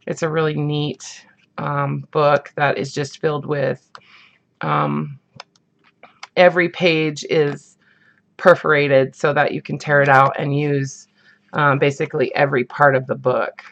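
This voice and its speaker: A woman with a husky morning voice, chatting casually as she shows off craft supplies, rambling with lots of ums and likes. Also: deep voice, a little slow, fairly bright tone. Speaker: craft vlogger. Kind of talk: casual show-and-tell chat.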